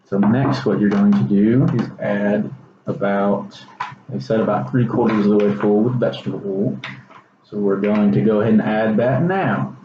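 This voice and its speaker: A man's voice: lowish voice, fairly even intonation, normal speed. A young man with a Texas accent gives an informal science demonstration as a student presenter, explaining casually and a bit hesitantly. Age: young adult. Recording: roomy echo, dull sound, faint noise.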